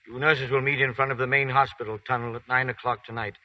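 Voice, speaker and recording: An actor playing a grave army colonel, heard from afar. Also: male voice, mid-range voice, level tone, quick pace, close to an everyday voice. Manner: formal, grave and commanding. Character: stern male army colonel giving orders. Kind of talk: 1940s radio acting. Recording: dull radio broadcast, voice from afar.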